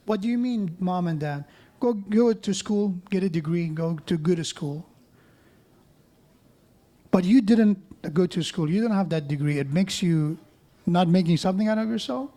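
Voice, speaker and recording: Guy giving a sermon at a mosque, pretty high voice, talks loose and conversational. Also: moderate pace, dark tone. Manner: conversational, with joking asides and many restarts. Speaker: preacher.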